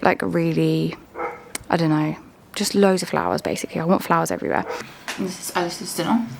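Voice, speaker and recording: A woman's voice: on the low side, creaky. A young woman, chatting casually to camera at home and in her garden, rambling with plenty of fillers. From England. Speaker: lifestyle vlogger. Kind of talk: casual vlog chat to camera. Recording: roomy echo with faint ambient noise.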